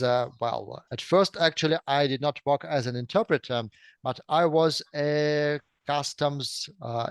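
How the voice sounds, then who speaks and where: Clear-voiced Russian man, careful speaker. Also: a little high, no room sound. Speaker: interview guest, simultaneous interpreter. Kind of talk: conversational interview answers about own career.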